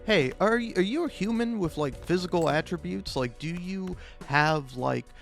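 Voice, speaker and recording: A man improvising a self-deprecating comic monologue for a gaming video, rambling and halting, often interrupting himself and swearing casually. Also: sits high, creaky, faint music behind. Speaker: video game YouTuber.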